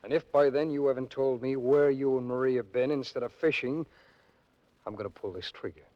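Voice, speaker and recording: An actor playing a menacing, coldly sarcastic armed criminal with clipped, formal threats, in 1940s radio drama style. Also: male voice, mid-range voice, a little fast, close to an everyday voice. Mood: sarcastic menace. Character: menacing, coldly sarcastic gun-toting crime dealer. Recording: muffled vintage radio recording with music.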